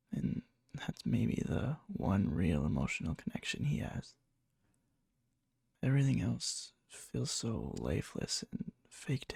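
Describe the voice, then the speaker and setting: Man playing a breathy, creaky-voiced nervous lovesick friend. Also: mid-range voice, no echo, studio quality, exaggerated voice. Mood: lovesick, anxious. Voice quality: soft, breathy, often dropping to a whisper. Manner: hesitant, halting, murmuring with nervous chuckles and stammers. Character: needy lovesick young man nervously confessing to best friend. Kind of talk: ASMR boyfriend roleplay. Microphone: close microphone, dry.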